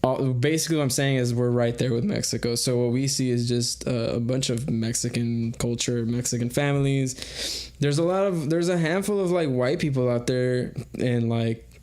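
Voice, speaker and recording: A man's voice: medium pitch, normal speed, darkish tone. A young man from South Texas, co-hosting a podcast in loose, rambling casual chat with casual swearing. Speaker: podcast co-host.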